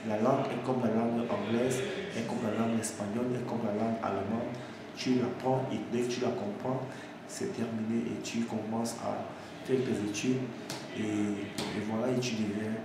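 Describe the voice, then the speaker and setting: Young student man, flat voice, echoey room. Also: lowish voice. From Cameroon. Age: young adult. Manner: halting, many restarts and repeated words. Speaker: engineering student giving a testimonial. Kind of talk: unscripted testimonial to camera. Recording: echoey room with steady background noise.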